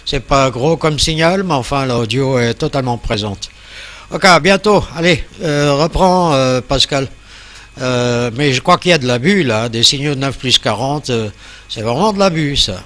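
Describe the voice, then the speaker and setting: A man's voice: medium pitch, expressive, normal speed, fairly bright tone. A man chatting casually as an amateur radio operator during a contact.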